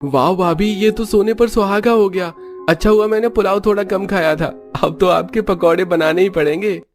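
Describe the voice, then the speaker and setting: Man doing a high-pitched eager young brother-in-law. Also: normal speed, faint music behind. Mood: excited. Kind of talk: Hindi cartoon story dialogue.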